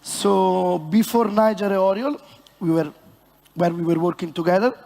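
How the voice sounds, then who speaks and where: A man with an Italian accent, a bartender presenting on stage in a joking, halting way with many fillers. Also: high voice, vocal fry, a little mellow. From Italy. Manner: halting and playful, many fillers, self-mocking banter. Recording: long hall reverb.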